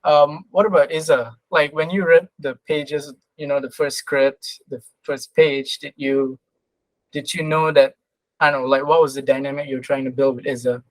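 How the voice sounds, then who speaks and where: A woman's voice: deep voice, fairly even intonation, moderate pace, a little mellow, no echo, low-quality recording. Woman, podcast host, casual interviewer, conversational, dry close recording.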